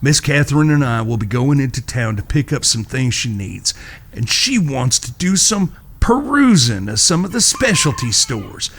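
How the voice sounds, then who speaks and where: Guy doing a grumpy american cowboy for an audio western, bright forceful voice that jumps all over, bit of background noise. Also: mid-range voice, very animated, normal speed. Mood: firm, impatient. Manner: irritable, folksy frontier talk. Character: gruff, exasperated cowboy brother, flustered and foul-mouthed. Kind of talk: Western audio drama acting.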